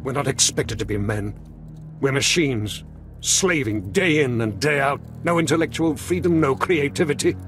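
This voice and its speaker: Man acting a bitter, animated defector scientist. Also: mid-range voice, normal speed, faint music behind, natural voice. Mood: bitter, impassioned. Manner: dramatic, impassioned, sardonic. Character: weary, bitter, idealistic defector scientist, defiant and fearful. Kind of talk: video game character dialogue.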